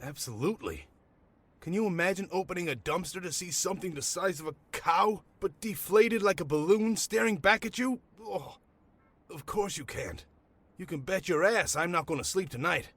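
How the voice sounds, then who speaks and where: Rattled male shopkeeper, higher-pitched voice, nervous stammer, wry chatter, audio drama. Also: expressive, moderate pace, a bit bright, breathy edge, heavily acted. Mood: rattled, talkative. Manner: nervous, stammering, wryly talkative. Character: rattled, chatty male comic shop owner. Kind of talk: naturalistic audio drama acting.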